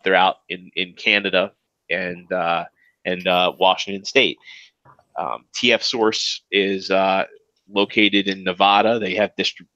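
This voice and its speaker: A man's voice: low-pitched, pushed and bright, no echo, highs cut off. A man with a Maryland accent co-hosting a podcast, rambling casually with lots of fillers and restarts. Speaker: podcast co-host. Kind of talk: casual chat.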